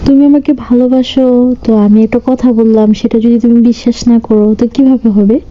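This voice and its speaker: Muffled, very dark female voice from a man. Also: very high-pitched, fairly even intonation, moderate pace. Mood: flirty, coy. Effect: male-to-female voice changer. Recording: muffled, noisy phone call recording.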